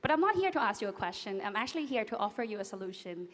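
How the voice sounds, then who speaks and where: A woman's voice: mid-range voice, sing-song, rapid-fire. A young woman from Thailand, a student association leader, giving an impassioned, rallying comment from the audience. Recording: echoey conference hall.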